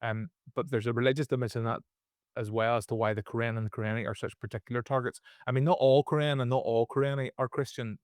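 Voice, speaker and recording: A man's voice: mid-range voice, steady tone, fast talker, darkish tone, no room sound, studio quality. Northern Irish man co-hosting a podcast. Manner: explanatory, repeats words when restarting. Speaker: podcast co-host. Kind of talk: casual conversational podcast.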